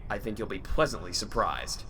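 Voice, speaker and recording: A man's voice: fairly low pitch, very animated, fairly quick, pushed and bright, long reverb tail, slight background noise. A man playing a cocky, playful, roguish spaceship captain in an audio drama, teasing and mock-formal. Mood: breezy confidence.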